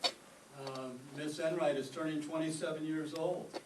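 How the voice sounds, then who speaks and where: A man's voice: a little high, steady tone, unhurried, recorded from a distance, lightly acted. A man playing a shy, earnest and easily flustered male teacher in a staged old-time radio comedy. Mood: earnest, apologetic. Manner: hesitant, flustered. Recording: echoey stage recording, off mic, faint noise.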